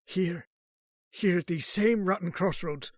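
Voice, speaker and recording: An actor playing a gruff, fatherly older police sergeant in an old-time radio drama, speaking. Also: high voice, unhurried, dry recording, studio quality. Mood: shocked, grieving.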